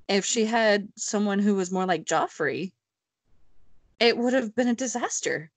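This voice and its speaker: A woman's voice: medium pitch, measured pace, dry recording. A woman chatting informally about a book, in a hesitant, self-deprecating way. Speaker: book read-along panelist. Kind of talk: casual chat.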